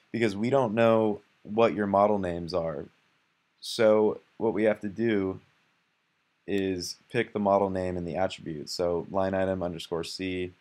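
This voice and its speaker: Low-voiced man walking through software. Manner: relaxed and conversational, with fillers and self-corrections. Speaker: software developer demoing his app. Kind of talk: casual screencast walkthrough.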